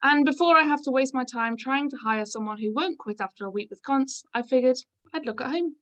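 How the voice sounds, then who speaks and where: A woman acts an abrupt, jaunty hotel manager in her fifties for a drama reading. The voice sits fairly high and brushes objections aside briskly and breezily. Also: fairly bright tone, poor audio. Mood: brisk, breezy. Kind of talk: script table-read acting.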